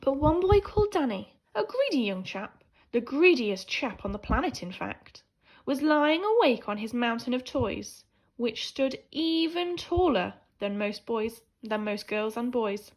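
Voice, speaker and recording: A woman teacher reading a children's picture book aloud in an animated, theatrical way, swinging between hushed and emphatic delivery. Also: fairly high pitch, a little slow. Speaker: primary school teacher reading a story.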